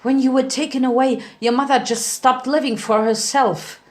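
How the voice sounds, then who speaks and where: A woman voicing a weary, loving male pony father, halting and earnest, in a story reading. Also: sits high, steady tone, darkish tone, voice pushed up, natural voice. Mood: somber recounting. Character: lanky stallion pony father, guilt-ridden, weary, loving.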